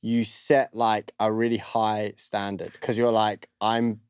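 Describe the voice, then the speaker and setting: A man's voice: fairly low pitch, flat delivery, takes it slowly, clean tone, dry recording. Man explaining things casually, dry studio sound. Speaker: podcast host. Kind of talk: casual podcast chat. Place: recording studio.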